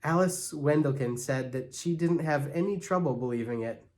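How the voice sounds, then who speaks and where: A man reading a children's story aloud in an animated, expressive way, as a church storyteller. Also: on the high side, moderate pace. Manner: expressive, acts out characters' lines.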